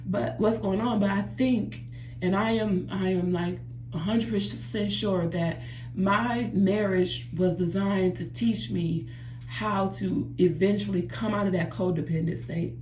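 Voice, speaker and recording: A woman's voice: medium pitch, fairly even intonation, a little slow, darkish tone, slight background noise. Earnest woman vlogging in an echoey bathroom. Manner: earnest, repetitive, addresses viewers as "y'all". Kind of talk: reflective personal vlog. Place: home bathroom by a running jacuzzi.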